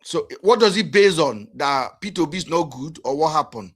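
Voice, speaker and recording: A man's voice: fairly high pitch, animated, normal speed, a bit bright. A Nigerian man with a Nigerian accent hosting a live call-in show, firm and repeatedly interjecting. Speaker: host.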